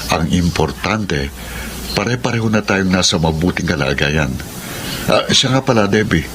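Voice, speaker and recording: A man's voice: sits low, lively intonation, moderate pace, noisy background. An actor playing an earnest, sentimental leading man in a Filipino romantic radio drama, with a Filipino accent. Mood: conciliatory.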